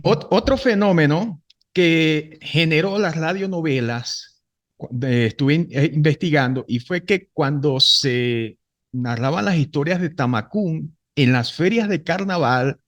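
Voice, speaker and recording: A male host speaks in an informal interview style. His voice sits a little higher than average. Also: a little slow.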